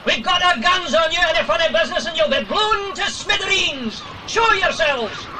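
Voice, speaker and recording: A man playing a loud, bluff, blustering agent in a children's audio adventure. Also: very high voice, animated, normal speed, crowd noise. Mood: menacing. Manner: loud, blustering, says "aye". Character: bellowing, bluff adult male agent hailing from afar. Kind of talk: dramatised children's audiobook acting. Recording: very noisy, busy effects behind.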